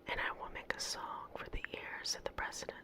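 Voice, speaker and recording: A woman whispering softly in an unhurried, gentle ASMR style, as a host reading poetry aloud. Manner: soft, unhurried, gentle delivery with pauses.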